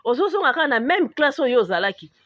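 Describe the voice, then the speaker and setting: Woman acting as cartoon market mother, Congolese accent, high voice, indignant, scolding, dry close sound. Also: very animated, quick pace, a bit bright, dry recording, highs cut off. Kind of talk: Congolese animated comedy dialogue.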